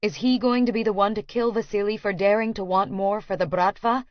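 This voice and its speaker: A woman's voice: mid-range voice, steady tone, fairly quick, no echo, lo-fi sound. A woman reading scripted first-person fiction as an audiobook narrator.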